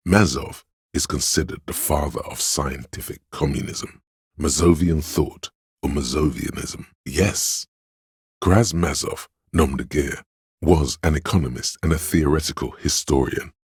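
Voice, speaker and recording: A man voicing a learned inner voice in a video game, reciting obscure facts in a measured, lecturing, gravelly way. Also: rumbling low, dry recording, clean studio sound, exaggerated voice. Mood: measured, erudite. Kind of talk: video game scripted narration.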